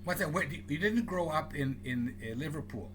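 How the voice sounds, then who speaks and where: A man's voice: on the high side, expressive, moderate pace. A man hosting an interview, playful and teasing, stumbling over repeated words. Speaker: interview host. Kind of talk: conversational interview.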